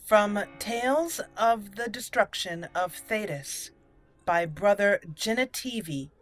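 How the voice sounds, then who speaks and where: A woman hosting a roleplay game playthrough, speaking in a deliberate storyteller manner. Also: medium pitch, expressive. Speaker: gaming channel host. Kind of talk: roleplay game commentary and dramatic lore reading. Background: faint game music.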